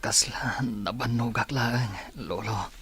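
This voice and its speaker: Young man patient played by a man, Ilocano accent, forceful thin edge, weary, respectful grandson, radio drama. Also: medium pitch, takes it slowly.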